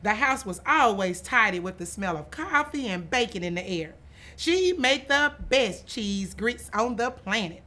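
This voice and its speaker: A woman reading a children's picture book aloud in an expressive storytelling manner, stretching some phrases for emphasis. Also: fairly high pitch. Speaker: author and school administrator reading aloud.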